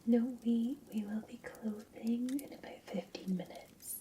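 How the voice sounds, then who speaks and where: A Scottish woman whispering softly and breathily in a gentle, soothing, unhurried ASMR roleplay as a café barista. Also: fairly high pitch, fairly even intonation, a little hiss or hum.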